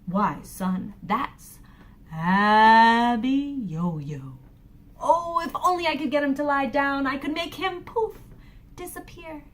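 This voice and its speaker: A woman doing a calm storybook father. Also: high-pitched, unhurried, pitched above the performer's own voice. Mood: musing. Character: patient father with a magic wand.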